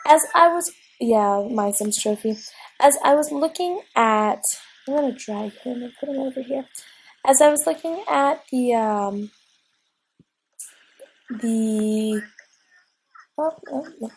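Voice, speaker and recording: Young woman gamer, slightly high and husky, stuffy from a cold, chatty, unscripted home recording. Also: slow, pauses often, rough recording. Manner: rambling, chatty, frequent ums and restarts. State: recovering from a cold, sniffly. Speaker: let's play gamer. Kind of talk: casual gameplay commentary. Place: at home at a desk.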